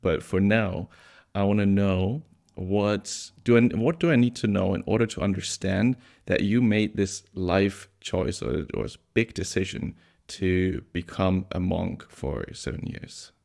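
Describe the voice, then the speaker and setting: A young man hosting a podcast, talking in a gentle, thoughtful and somewhat hesitant way. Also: sits low, a little slow, gravelly, few pauses. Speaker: podcast host, coach and psychologist. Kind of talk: casual podcast conversation.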